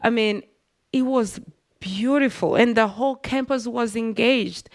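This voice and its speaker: A woman's voice: fairly high pitch, measured pace, dry recording, clean studio sound. A woman from Rwanda with a Rwandan accent, telling her personal story as an interview guest in an earnest, reflective way.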